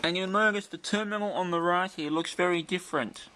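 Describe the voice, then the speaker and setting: A man's voice: sits high, brisk, sharp tone, slight background noise. A man giving an unscripted show-and-tell as a video presenter, explaining things carefully and in detail. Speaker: presenter of a vintage radio demonstration video. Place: indoors in a bright room.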